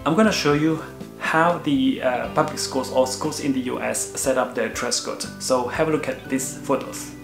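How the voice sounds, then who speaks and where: A man's voice: mid-range voice, normal speed, gravelly, reverberant, over music. A man in his thirties from Indonesia, explaining to camera on a sofa at home in an earnest manner, with frequent "uh" fillers. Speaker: vlogger. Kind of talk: explanatory video talk to camera. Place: living room with a sofa, indoors.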